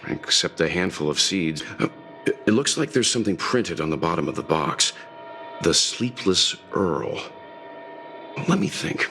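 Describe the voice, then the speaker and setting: An actor playing a reserved, matter-of-fact adventurer in a video game, with a terse, deliberate manner. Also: male voice, low-pitched, sing-song, pushed and bright, faint music behind, full character voice. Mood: pondering.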